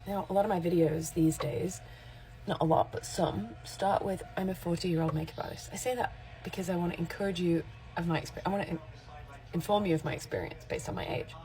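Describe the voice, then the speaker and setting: A woman in her forties talks straight to camera in a casual, candid vlog. Her voice sits a little low and sounds mellow and relaxed. She rambles and corrects herself mid-sentence as she makes her point. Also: brisk, rough recording. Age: 40s. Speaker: makeup artist and creator.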